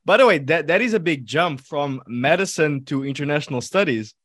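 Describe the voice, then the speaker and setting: A man's voice: a little high, expressive, brisk, a bit bright, no room sound. A man chatting casually and informally as a podcast co-host, often repeating words as he goes. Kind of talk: casual chat.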